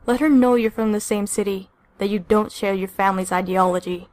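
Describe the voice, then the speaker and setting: A woman voicing a calm, responsible young male superhero team leader in an audiobook. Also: medium pitch, a little fast, no room sound, natural voice. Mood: firm, calm.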